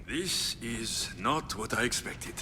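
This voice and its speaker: An actor playing a calm, sardonic, battle-hardened mercenary in a game cutscene. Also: male voice, medium pitch, takes it slowly, noisy background. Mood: dry. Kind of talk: video game cutscene acting. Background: game music.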